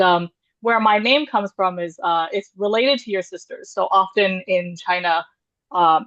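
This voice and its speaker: A woman's voice: fairly low pitch, no echo. A woman panelist on a video call, chatting in a friendly, self-deprecating way with frequent laughs. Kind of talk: conversational presentation. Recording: muffled video-call audio.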